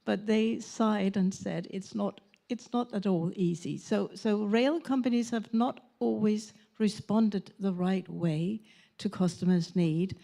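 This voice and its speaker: A woman from Denmark, speaking as a panelist at a conference in a measured, thoughtful way with pauses and fillers. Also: medium pitch, vocal fry, dark tone. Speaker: conference panelist, former parliament member.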